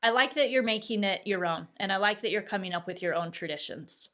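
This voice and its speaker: A woman co-hosts a podcast in an easy, mid-pitched voice. She sounds enthusiastic and encouraging as she chats with her co-host and brings in the week's guest. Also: a little fast, fairly bright tone. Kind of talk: casual chat and show introduction.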